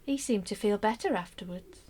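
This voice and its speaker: An actress playing a wistful middle-aged mother in a radio play monologue, confiding and measured, often dropping almost to a whisper. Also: medium pitch, darkish tone, breathy edge, lightly acted. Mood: wistful, sad. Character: middle-aged wife and mother, wistful, secretly grieving a love affair. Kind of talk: intimate dramatic monologue, scripted radio play narration.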